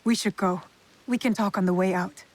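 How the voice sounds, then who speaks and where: A woman voicing a determined heroine who questions lords directly and calmly in game cutscenes. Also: mid-range voice, fairly quick, close to an everyday voice. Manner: direct, probing and calm. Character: cool determined female shinobi heroine. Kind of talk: video game cutscene acting.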